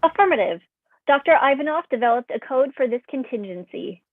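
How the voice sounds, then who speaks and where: A woman's voice: sits high, expressive, a little fast, no echo, phone-quality sound. A woman playing a formal, precise, literal artificial intelligence assistant in a staged play reading. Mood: formal, matter-of-fact.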